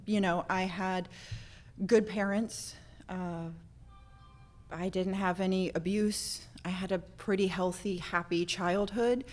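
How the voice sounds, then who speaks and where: A woman's voice: medium pitch, slow pace. A woman from central Florida, born in Norway, sharing a personal story informally with a group in a candid, self-deprecating way. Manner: candid, self-deprecating, animated when acting out moments. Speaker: speaker sharing a personal addiction recovery story.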